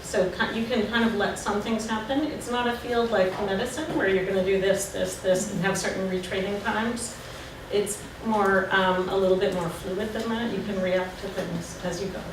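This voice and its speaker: A woman's voice: mid-range voice, fairly even intonation, moderate pace, hardly any pauses. A woman designer on a panel who speaks hesitantly and restarts her sentences. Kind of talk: casual panel discussion. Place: lecture room. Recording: echoey room, distant mic, background noise.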